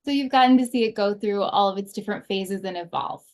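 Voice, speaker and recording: A woman's voice: mid-range voice, fast. Woman hosting an interview. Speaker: interview show host. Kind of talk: conversational interview.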